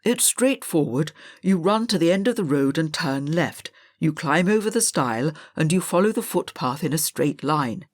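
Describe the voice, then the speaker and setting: Storybook schoolmaster, played by a woman narrator: brisk, matter-of-fact instructor, slightly low voice. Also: lively intonation, darkish tone. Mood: brisk instructing. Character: brisk male schoolteacher giving race instructions.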